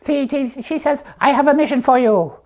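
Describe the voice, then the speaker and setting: A woman voicing an excitable puppet in a comic show, telling stories in a rambling, animated way with acted-out dialogue. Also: sits high, a little fast. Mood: chatty, eager. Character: excitable chatty storytelling puppet reviewing films.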